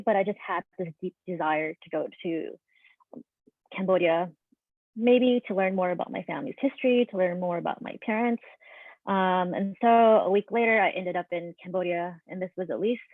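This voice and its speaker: A woman restaurant owner and chef sharing her story on a panel over a video call, earnest and reflective with frequent pauses. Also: lowish voice, no echo. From United States. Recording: dry, phone-like video call audio.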